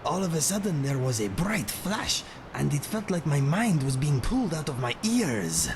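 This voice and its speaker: A man playing a loyal, gentle cat-man companion in a video game, speaking formally and troubled. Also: a little high, sing-song, background noise. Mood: weary, reassuring. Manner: formal speech without contractions, measured. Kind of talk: video game character acting.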